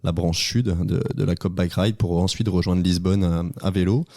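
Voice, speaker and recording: Deep-voiced man explaining a project. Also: fairly even intonation, normal speed, warm tone, dry recording, clean studio sound. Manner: explanatory, filler-heavy. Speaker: guest. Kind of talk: radio interview.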